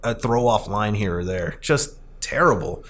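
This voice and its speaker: A man's voice: fairly low pitch, expressive, normal speed, a bit bright. A man speaking as a podcast host in a casual film discussion, rambling and opinionated. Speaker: podcast host, arcade president.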